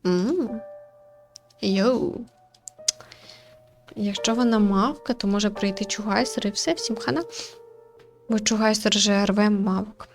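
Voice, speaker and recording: A woman's voice: mid-range voice, fairly even intonation, a little slow, long pauses, faint music behind. A woman reading a visual novel aloud as a let's player, with informal, playful commentary. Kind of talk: scripted narration with casual gaming commentary.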